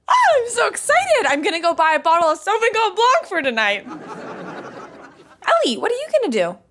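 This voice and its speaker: A woman's voice: very high voice, wildly swooping pitch, moderate pace, a bit bright, some chatter behind, full character voice. A woman playing a dramatic, scheming twenty-something in a podcast sitcom, with a theatrical, exaggerated manner. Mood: pleading, excited. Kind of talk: sitcom acting.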